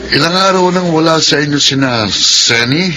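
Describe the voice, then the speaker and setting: An actor with a Filipino accent playing a jovial drinking buddy in a radio drama. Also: male voice, fairly high pitch, normal speed, sharp tone, slight background noise. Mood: sympathetic, encouraging. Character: jovial male drinking buddy, egging his friend on.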